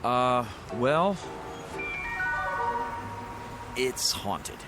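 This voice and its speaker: A man's voice: mid-range voice, big pitch swings, extremely slow, loud music behind the voice. A man playing a slangy used-car lot owner with a salesman's patter in an audio drama. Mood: reluctant, sheepish.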